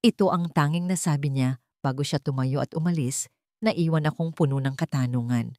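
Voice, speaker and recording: A woman narrates a romantic drama in a low voice. The delivery is scripted first-person storytelling, and the sound is dry and close, with very little room in it. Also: normal speed, warm tone, no room sound.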